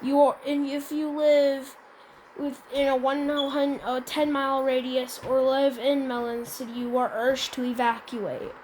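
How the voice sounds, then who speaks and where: A woman's voice: sits high, flat delivery, measured pace, a little mellow, a little hiss or hum, poor audio. A woman giving an improvised emergency announcement in an amateur role-play, stumbling often and repeating her phrases. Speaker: announcer in a hobby emergency alert scenario.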